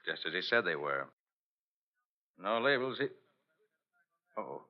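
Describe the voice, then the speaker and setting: A man's voice: sits low, very animated, measured pace. An actor playing a confident, shrewd male private detective in an old-time radio drama. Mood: intent, deductive. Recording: dull old radio recording.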